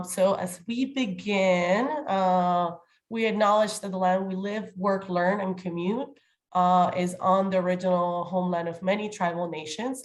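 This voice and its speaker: A woman chairing an online committee meeting in a formal, welcoming role, slightly nasal as she gets over a cold. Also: on the low side. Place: remote video call.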